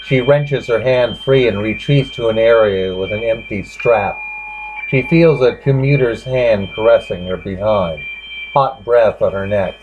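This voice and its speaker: Slow, low-voiced man reading over noise. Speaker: narrator reading a short story. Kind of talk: dramatic reading of literary fiction. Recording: dull lo-fi recording with clear background noise.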